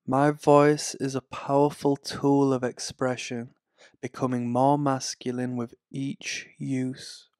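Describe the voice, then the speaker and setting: A man reading guided affirmations in a calm, soothing, scripted way. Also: mid-range voice, smooth, dry recording, clean studio sound. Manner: slow, calm, soothing delivery. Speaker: affirmation narrator.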